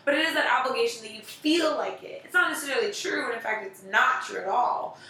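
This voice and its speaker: Bright-voiced woman, echoey and distant. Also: a little high, quick pace. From United States. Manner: chatty, swears casually, laughs often. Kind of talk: casual chat. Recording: echoey room from far off.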